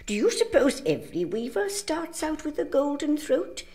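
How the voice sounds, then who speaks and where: An actress playing an elderly, stern but kindly weaver woman and mentor in an audio drama, speaking in a measured, theatrical, instructing way. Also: sits high, big pitch swings, a little mellow.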